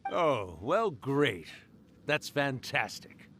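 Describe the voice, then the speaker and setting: A man's voice: medium pitch, very animated, drawn-out words, a bit bright, a little hiss or hum, full character voice. A man voicing a wry, nostalgic, centuries-old yokai spirit in a video game, with a theatrical manner. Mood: affable.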